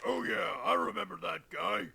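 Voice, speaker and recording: A man's voice: sits high, fairly even intonation, normal speed, vocal fry, bright, forceful tone, slightly rough, heavily acted. A man voicing a blunt, pompous, mocking authority figure in a cartoon parody dub. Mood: pompous, dismissive.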